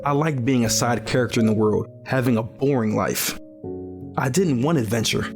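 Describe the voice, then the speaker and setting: An actor playing a jaded, cautious young survivor who narrates an audio drama in a weary, skeptical way. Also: male voice, mid-range voice, normal speed, music in the background, lightly acted.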